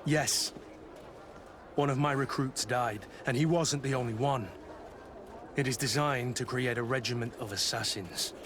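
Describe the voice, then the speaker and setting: An actor playing a regretful, earnest soldier in a video game. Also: male voice, medium pitch, unhurried, pauses often, lightly acted. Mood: grave, regretful. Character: betrayed, regretful soldier mentor of the Guard. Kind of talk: dramatic video game dialogue. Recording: clear outdoor ambient noise behind.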